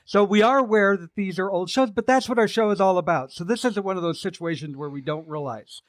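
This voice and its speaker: Male podcast co-host, everyman; wry, relaxed, a touch high, dry studio sound. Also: brisk, dry recording. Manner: wry, self-deprecating, relaxed storytelling. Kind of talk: casual podcast chat.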